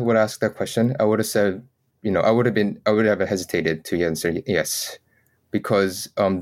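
Low-pitched man, hesitant interview guest. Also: a little mellow. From South Korea. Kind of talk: conversational interview.

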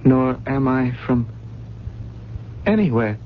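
An actor playing a lost, bewildered gentleman in a radio drama, speaking in a halting, wistful way. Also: medium pitch, animated. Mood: dazed, forlorn. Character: lost, bewildered, well-dressed gentleman without memory. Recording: muffled lo-fi radio recording, faint noise.

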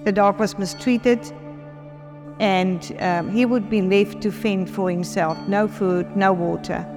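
A woman's voice: medium pitch, fairly even intonation, slow pace. A woman speaking in a recorded soundbite. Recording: long reverb with clear music.

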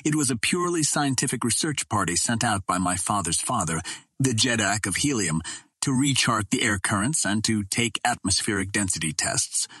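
A man's voice: expressive, moderate pace, pushed and bright, slightly rough, no room sound, heavily acted. A man voicing a proud captive princess from another planet in a storybook, speaking in formal, impassioned oratory. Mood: composed, earnest. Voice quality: low, well-modulated.

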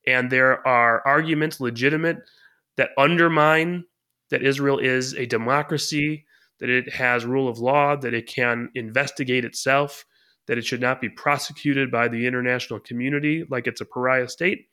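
A middle-aged man co-hosting a podcast, explaining his views at length in a measured way. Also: medium pitch, fairly even intonation, bright, forceful tone, clean tone. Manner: measured, long-winded explaining. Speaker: podcast co-host. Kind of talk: podcast talk and interviewing.

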